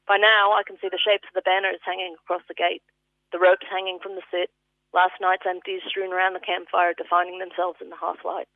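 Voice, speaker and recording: A woman's voice: lowish voice, level tone, fairly quick, dry recording. A woman with an Australian accent reading a personal eulogy as vivid literary storytelling. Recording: thin phone-line sound.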